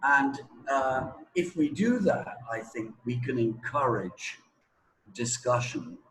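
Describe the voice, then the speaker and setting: A man's voice: fairly low pitch, a bit bright, slight background noise, poor audio. A man giving explanatory interview answers as a teacher, emphatic and repeating words for stress.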